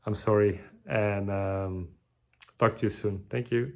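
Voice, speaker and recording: A tired man, giving a halting, casual video update with many restarts. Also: fairly low pitch, steady tone, gravelly. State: tired, burned out. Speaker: vlogger.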